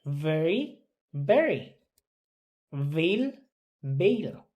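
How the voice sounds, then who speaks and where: A woman learning English, reading drilled words and sentences aloud in a careful, halting way. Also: low-pitched, big pitch swings, darkish tone.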